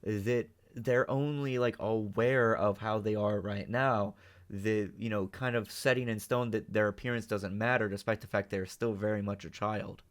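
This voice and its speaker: A man's voice: lowish voice, fairly even intonation, normal speed. A man hosting a reaction video, giving off-the-cuff analytical commentary with emphatic check-ins.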